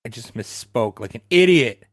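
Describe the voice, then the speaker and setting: A man's voice: mid-range voice, animated, husky, dry recording. Podcast guy in his late 30s, medium voice, dry mic, tosses in tv trivia, laughs at his own slips. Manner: quick trivia asides, self-deprecating. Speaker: podcast co-host. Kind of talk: casual chat.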